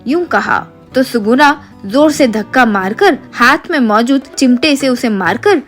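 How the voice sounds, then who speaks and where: A woman reading scripted narration for an animated moral story. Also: high-pitched, measured pace. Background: light music.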